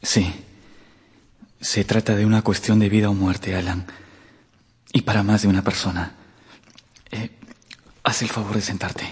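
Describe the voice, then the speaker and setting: Cold secretive young gent, radio play, super husky low voice, controlled, big echoey hall. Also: male voice, little pitch movement, measured pace, long pauses. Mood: grave, controlled. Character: cold, secretive young gentleman confessing a death. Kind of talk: radio drama acting. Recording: long reverberant hall.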